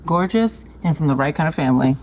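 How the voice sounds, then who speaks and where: Low, mellow, bossy woman. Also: expressive, quick pace, a little hiss or hum, rough recording. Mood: dismissive, bossy. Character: bossy, dismissive female workplace senior. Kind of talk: audio drama acting.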